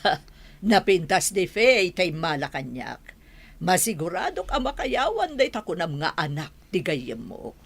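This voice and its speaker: Husky, expressive stern mother. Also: on the low side, a little slow, full character voice. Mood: curious, calculating. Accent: Filipino, Ilocano. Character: stern, snobbish middle-aged mother disapproving of her daughter's love. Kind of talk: radio drama acting.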